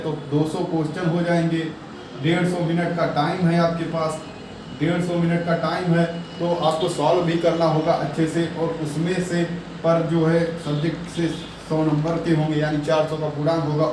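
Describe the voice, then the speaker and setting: A man giving informal exam updates and advice as a coaching teacher, repeating his points in a reassuring way. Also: on the high side. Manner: repetitive, reassuring coaching talk. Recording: very echoey room, distant mic, noisy.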